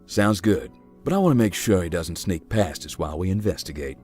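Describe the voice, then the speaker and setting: A very low male voice plays a giant, laid-back man in a horror visual novel. His delivery is folksy and dry, with lively swings in intonation. Music plays behind him. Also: a little fast. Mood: calm, wry. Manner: deadpan, folksy, wry. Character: giant, easygoing, wry man exploring a haunted hospital.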